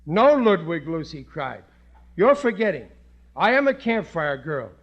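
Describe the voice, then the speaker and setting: An actor playing a bumbling, excitable working-class husband in a 1950s radio comedy, earnest and thick-headed, stammering and blustering with rough grammar. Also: male voice, fairly high pitch, a little slow, highs cut off, exaggerated voice. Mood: agitated. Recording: noisy vintage 1950s radio broadcast.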